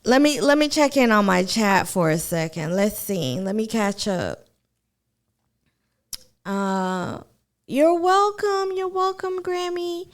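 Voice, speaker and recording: A woman's voice: on the high side, slow. A woman from Kenya with a Kenyan accent, chatting casually to viewers on a live stream about a TV show. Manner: chatty, addresses viewers as y'all.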